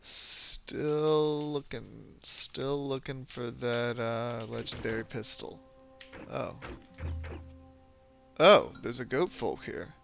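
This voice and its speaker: Congested man streaming with a gravelly voice. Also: slow, fairly bright tone. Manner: low-key, rambling, self-mocking. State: losing his voice, congested. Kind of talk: casual game commentary. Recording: dull lo-fi sound with music behind. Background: music.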